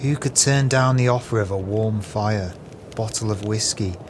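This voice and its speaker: An actor playing a gleeful, crooked swindler with a sly, florid, falsely friendly manner in an audio play. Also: male voice, fairly low pitch, normal speed, noisy background, natural voice. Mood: sly scheming.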